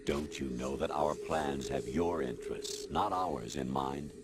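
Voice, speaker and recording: A man voicing a formless artificial intelligence in a video game, lecturing in a measured, authoritative, matter-of-fact way. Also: very deep voice, very noisy. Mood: cold, lecturing. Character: formless male artificial intelligence posing as colonel, coldly lecturing. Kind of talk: video game cutscene acting. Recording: loud music behind game dialogue. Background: loud music.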